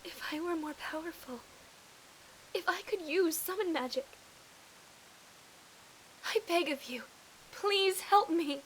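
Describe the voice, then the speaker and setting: A woman's voice: extremely high pitch, slow pace, pauses often, fairly bright tone, a little hiss or hum, heavily acted, rough recording. A woman playing an earnest, tender-hearted young princess in a fantasy game fan dub, emotional and formally spoken. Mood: pleading.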